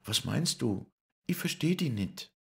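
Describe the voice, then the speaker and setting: A man voicing a warmhearted young woman, a traveling puppeteer's daughter who speaks in a lilting southern German dialect. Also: medium pitch, very animated, unhurried, gravelly, pitched above the performer's own voice. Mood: anxious. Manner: heartfelt, lilting dialect speech.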